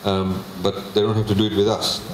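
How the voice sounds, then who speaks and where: Male official, low-ish voice, measured public address with pauses, roomy hall, faint background hum. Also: little pitch movement. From Fiji. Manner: measured, many filler pauses. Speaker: government minister presenting a budget. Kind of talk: public presentation with slides. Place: public consultation meeting hall. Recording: echoey hall, faint ambience.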